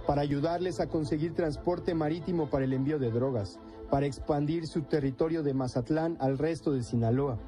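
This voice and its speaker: A male reporter reads a scripted news voice-over in a steady, formal delivery. His voice sits a little high for a man. A clear music bed runs under him.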